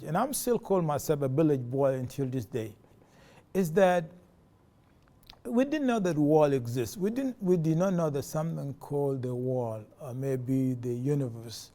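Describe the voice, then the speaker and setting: Male interviewee, forties, South Sudanese accent; relaxed, dark timbre, halting delivery. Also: a little high, vocal fry. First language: a South Sudanese language. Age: 40s. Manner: halting, many fillers and restarts. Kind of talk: personal testimony.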